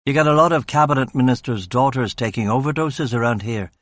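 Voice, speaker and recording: A man reading aloud and playing a gruff, worn-out inspector, clipped and sardonic. His voice sits low and plain, close to the narrator's own, with a dry, close sound. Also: brisk, dry recording, lightly acted. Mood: curt. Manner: curt and sardonic. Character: gruff, weary male police inspector.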